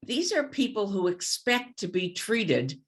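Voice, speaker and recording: A woman speaking as a book group participant, giving blunt opinions and halting now and then to gather her thoughts. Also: medium pitch, very animated. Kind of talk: opinionated discussion comment.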